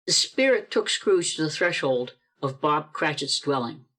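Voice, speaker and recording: A woman narrating a radio play over a video call, telling the story in a measured, expressive way. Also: deep voice.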